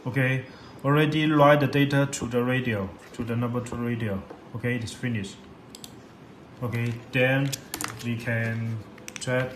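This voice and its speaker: Male product demonstrator, halting non-native English, instructional step-by-step delivery, roomy sound with clear background noise. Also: mid-range voice, fairly even intonation. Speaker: product demonstrator for a radio seller. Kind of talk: step-by-step software tutorial. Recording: echoey room, loud background noise.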